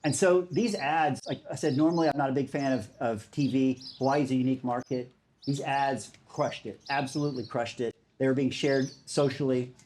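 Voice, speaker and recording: A man's voice: medium pitch, fairly even intonation, moderate pace, a little mellow, a little hiss or hum. A man giving a conference talk in a casual, enthusiastic way, with many fillers. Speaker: speaker.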